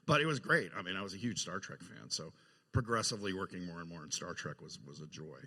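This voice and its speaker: A man's voice: low-pitched, lively intonation, quick pace, bright, forceful tone, husky. A man telling casual anecdotes as a guest on a live panel. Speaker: panel guest, film effects artist. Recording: echoey hall, live panel sound.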